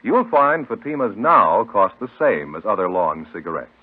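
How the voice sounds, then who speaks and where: A man's voice: on the low side, very animated, normal speed, slightly rough. A man announcing in dramatic 1950s radio style, emphatic and declamatory. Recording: tinny old radio recording, loud music.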